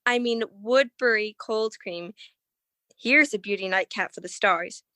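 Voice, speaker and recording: Bright, dry-sounding radio beauty consultant played by a woman. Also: a little slow, dry recording. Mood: persuasive. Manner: persuasive, carefully phrased sales pitch. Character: polished female beauty consultant in cosmetics advert. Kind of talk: 1940s radio commercial.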